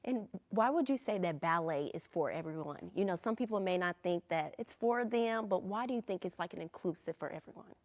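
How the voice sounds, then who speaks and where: A woman's voice: on the low side, lively intonation, a little fast, hardly any pauses. A woman hosting a local TV morning show, interviewing guests with short affirming replies. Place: TV studio.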